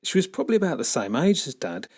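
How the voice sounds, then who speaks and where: Lively, slightly husky male children's storyteller. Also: on the high side, quick pace. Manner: expressive, dramatic character shifts. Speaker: author reading own book. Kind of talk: animated scripted storybook narration for children.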